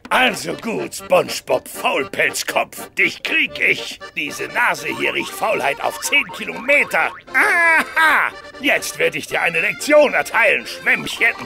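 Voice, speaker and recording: Ein Mann spielt einen aufbrausenden, melodramatischen Krabben-Chef mit knarziger Stimme in einem Kinderhörspiel. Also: male voice, high voice, very animated, takes it slowly, vocal fry, hardly any pauses, over music, full character voice. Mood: menacing. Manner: blustering, melodramatic. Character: gruff, irascible crab restaurant boss with sailor bluster. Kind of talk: children's cartoon audio drama acting.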